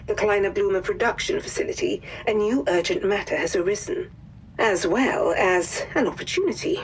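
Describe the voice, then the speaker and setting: An actress playing a formal wartime intelligence officer, delivering a measured, precise mission briefing. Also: female voice, medium pitch, slight background noise, natural voice, poor audio. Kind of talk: scripted mission briefing narration.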